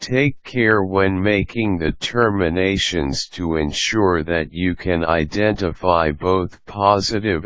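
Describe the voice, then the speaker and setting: Deep-voiced man narrating a tutorial. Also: fairly bright tone, no echo. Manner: measured, step-by-step delivery. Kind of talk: scripted instructional narration.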